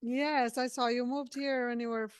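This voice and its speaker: A woman from Brazil, Portuguese her first language, hosting an interview over a video call and speaking in halting phrases with frequent restarts. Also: fairly high pitch, steady tone, clear, resonant tone, no echo, studio quality. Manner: halting, many restarts and fillers. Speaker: podcast host. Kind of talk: casual interview chat.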